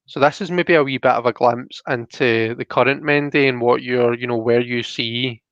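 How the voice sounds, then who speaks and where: Gravelly Scottish man, casual and thoughtful. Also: mid-range voice, fairly even intonation, normal speed, a bit bright, no room sound, muffled recording. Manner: thoughtful, often checks with "you know" and "do you know what I mean". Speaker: host and tarot card reader. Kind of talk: casual, conversational tarot reading.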